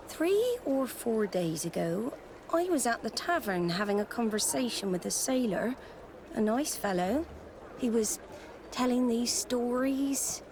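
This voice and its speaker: A woman voicing a candid townswoman in a video game, conversational and deliberate. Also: sits high, background noise, heavily acted. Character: candid local tavern-going townswoman.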